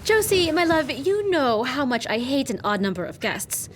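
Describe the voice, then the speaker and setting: Teasing young noblewoman, effusive, high-pitched, lively intonation, imperious, audio drama. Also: normal speed, slight background noise. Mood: breezy, teasing. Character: gushing, playful, imperious young aristocratic fiancée.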